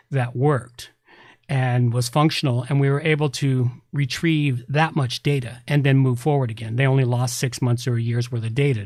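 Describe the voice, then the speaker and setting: A man's voice: mid-range voice, no room sound, studio quality. A man hosting a tech podcast, talking casually and explaining things with emphatic repetition. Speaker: podcast host, website repair specialist.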